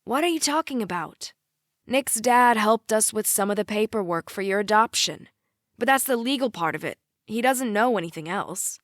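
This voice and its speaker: A woman voicing a concerned mother in an audiobook, gently protesting in a careful, placating manner. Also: medium pitch, animated, moderate pace, dry recording, clean studio sound, lightly acted. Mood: puzzled, defensive. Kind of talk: audiobook character dialogue.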